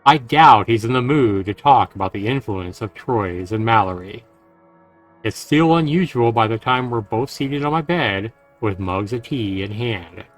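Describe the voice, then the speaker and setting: Guy streaming a visual novel, reads it out and chats earnestly, soft music behind. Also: fairly low pitch, lively intonation, a little slow. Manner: earnest, talking directly to listeners. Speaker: livestream host playing a visual novel. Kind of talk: reading game text aloud with casual commentary. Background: faint game music.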